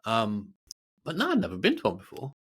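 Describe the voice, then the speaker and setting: A man's voice: mid-range voice, huge pitch swings, fairly quick, fairly bright tone, breathy edge. A middle-aged British man co-hosting a podcast, chatting casually in a rambling, self-deprecating way. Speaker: podcast co-host. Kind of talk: casual chat.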